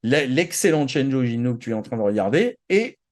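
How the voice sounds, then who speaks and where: A man's voice: medium pitch, big pitch swings, brisk, a little mellow. A man co-hosting a pop culture show in casual, slangy chat, often hesitating and searching for his words. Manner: rambling, hesitant, word-searching; informal slangy talk. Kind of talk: casual chat about anime and manga.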